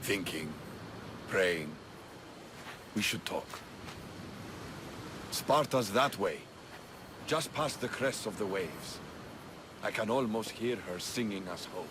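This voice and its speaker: A man playing an intense, earnest Spartan warrior and love interest in a cinematic video game, speaking with solemn, intimate, measured phrasing. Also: lowish voice, animated, background noise. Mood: wistful, earnest.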